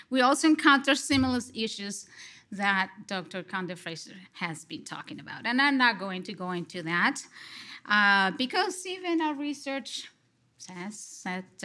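A middle-aged woman from Colombia with a Colombian Spanish accent, giving an expressive conference response from a lectern on a large stage, speaking into a headset mic. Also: on the high side. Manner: expressive, gesturing, slow and deliberate. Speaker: lecture respondent, psychology professor.

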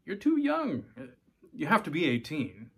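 A man's voice: a little high, huge pitch swings, unhurried, gravelly, a bit bright, husky, pitched above the performer's own voice, full character voice. A man voicing a self-doubting father in a story, reassuring but uneasy, in an everyday voice. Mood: coaxing. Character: Randall, a self-doubting young father, gentle with daughter.

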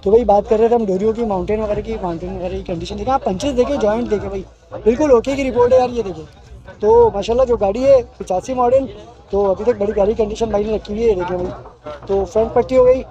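A man's voice: high voice, quick pace, a crowd in the background. A young man from Pakistan, walking through an outdoor car market and chatting into a handheld mic as he shows off a car. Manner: chatty, repeats "bhai" and "okay". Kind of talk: walkthrough commentary. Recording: dull lo-fi sound.

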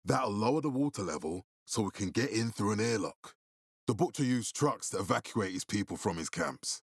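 A man voicing a grave, calculating militia commander in a video game, with a husky, authoritative and deliberate delivery. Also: fairly low pitch, lively intonation, no room sound, studio quality. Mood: controlled, serious. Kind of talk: video game character dialogue.

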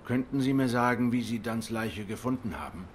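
An actor playing a cool, courteous cat private detective in a noir video game, questioning in a measured, polite way. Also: male voice, medium pitch, slight background noise, lightly acted. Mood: calm, probing.

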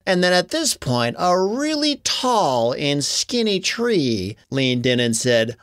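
Lowish, animated woman telling children's stories. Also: slow, no room sound, clean studio sound. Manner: expressive, animated, casual. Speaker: storyteller, podcast narrator. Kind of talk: children's story narration, conversational.

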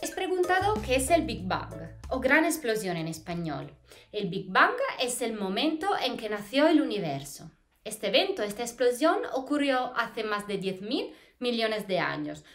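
A woman in her thirties from Italy with an Italian accent, a postdoctoral researcher explaining astronomy to school students in an expressive, lively way, recorded in a small plain room by a camera a few feet away. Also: on the high side, moderate pace, faint music behind. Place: small plain indoor room against a wall. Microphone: camera a few feet away, head to waist framing.

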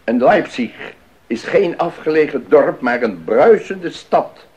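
A man's voice: a little high, lively intonation, slow pace, darkish tone. A man playing a formal, self-important town official in a Dutch radio drama, instructing emphatically. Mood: proud, emphatic. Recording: dull 1980s radio recording.

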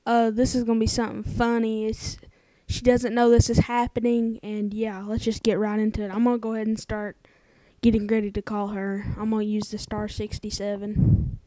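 Hesitant, mellow-voiced woman. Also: a little high, flat delivery, normal speed, no room sound, poor audio. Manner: hesitant, placating. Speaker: prank caller. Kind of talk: casual talk, posing as customer service.

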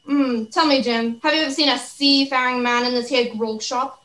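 A woman playing a shifty seafaring pirate man with gruff sailor talk, in an amateur radio play. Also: high voice, fairly even intonation, moderate pace, a bit bright. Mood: shifty, commanding.

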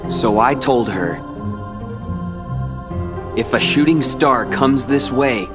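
An actor voicing a brooding teenage rival who speaks coldly and accusingly. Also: male voice, mid-range voice, slow pace, long reverb tail, natural voice. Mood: recalling. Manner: cold, accusing. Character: brooding silver-haired teen rival, cold and bitter. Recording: game cutscene audio with music.

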